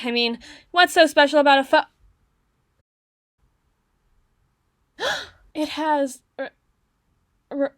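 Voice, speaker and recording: A woman acts out a socially awkward, bookish tsundere girl in her young adulthood for an ASMR roleplay. Her voice sits fairly high and her phrasing is wordy and halting, easily flustered. The sound is very dry and close, with no room echo. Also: a bit bright, heavily acted. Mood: flustered, stammering. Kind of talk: ASMR roleplay, acted monologue to the listener.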